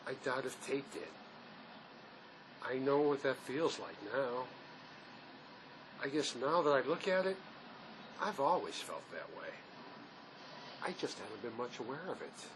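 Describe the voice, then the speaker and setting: An actor playing a remorseful escaped convict who questions himself haltingly in naturalistic drama, recorded on location. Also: male voice, lightly acted. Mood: subdued, reflective. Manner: searching, self-questioning, halting. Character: escaped convict, brooding, remorseful man wrestling with guilt. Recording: noisy location sound with steady ambient rumble.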